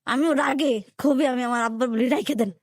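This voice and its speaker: A woman's voice: high voice, fast, no room sound, clean studio sound. A woman with a colloquial Dhaka-area Bangladeshi accent tells her own life story emotionally, acting out conversations as she goes. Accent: Bangladeshi, colloquial Dhaka-area Bengali. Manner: acts out quoted conversations in dialect.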